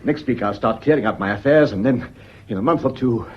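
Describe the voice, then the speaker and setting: A man's voice: mid-range voice, brisk, husky, lightly acted. A man playing a guarded, well-to-do master of the house with formal, emphatic period radio diction. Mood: tender reassurance. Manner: formal, curt with visitors, emphatic. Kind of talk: British period radio drama acting. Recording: muffled, noisy old radio recording.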